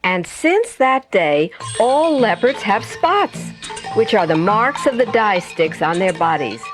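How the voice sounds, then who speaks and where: A woman narrating a children's bedtime story as a storyteller, with expressive, dramatic and carefully phrased delivery. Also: medium pitch, slow pace. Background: music.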